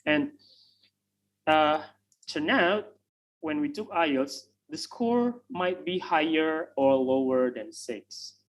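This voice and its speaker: A man from Indonesia with an Indonesian accent, speaking English as a second language and explaining English tests on a video call with many pauses and restarts. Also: fairly high pitch, animated, poor audio. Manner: hesitant, many fillers and restarts. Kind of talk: online webinar talk.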